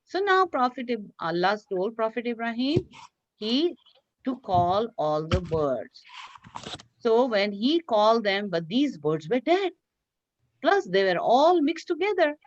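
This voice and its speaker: A woman teacher reading a story aloud to young children online, explaining as she goes, repeating phrases and asking questions in an animated way. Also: a little high, unhurried. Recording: roomy, dull lo-fi online class audio.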